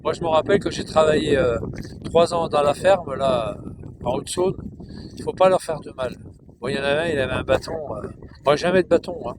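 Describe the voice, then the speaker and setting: High-voiced chatty man in a cow pasture. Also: a little fast, very noisy. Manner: chatty, repetitive, coos to the cows in a high sing-song voice. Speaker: vlogger filming cows. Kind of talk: casual rambling talk to camera.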